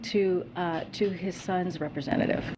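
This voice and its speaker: A woman's voice: on the low side, steady tone, normal speed. A woman answering reporters from a briefing podium, guarded and repeating set phrases. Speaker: press secretary. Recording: noisy briefing room.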